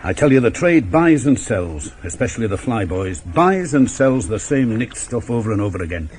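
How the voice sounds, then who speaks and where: A dogged, shrewd male police inspector in middle age, played by an actor in an eighties radio play. He is emphatic and authoritative, with a lively, swinging intonation at medium pitch. Faint traffic sounds sit behind him. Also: normal speed, close to an everyday voice. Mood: brooding, shrewd. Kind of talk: 1980s radio drama acting. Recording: old radio broadcast, faint traffic noise.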